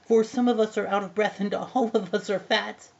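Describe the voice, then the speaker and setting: A man's voice: high-pitched, fairly even intonation, moderate pace, creaky, lo-fi sound, voice pushed up. A man voicing plump little storybook oysters, crying out and pleading. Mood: breathless protest.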